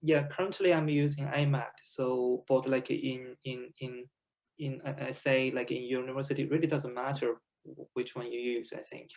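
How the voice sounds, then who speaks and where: A man's voice: mid-range voice, flat delivery, moderate pace, darkish tone, poor audio. A man from China speaking English as a second language as a guest on an online alumni panel, talking hesitantly with many fillers and frequent laughs. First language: Chinese. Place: home, over a video call.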